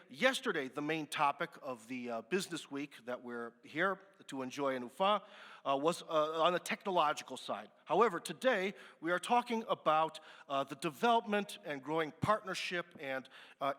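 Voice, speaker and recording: A man moderates a business forum from the stage, chatting easily and filling pauses as he goes. His voice is bright and fairly high, and it rings with the long reverb of a large conference hall. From United States. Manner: chatty, relaxed, with many fillers.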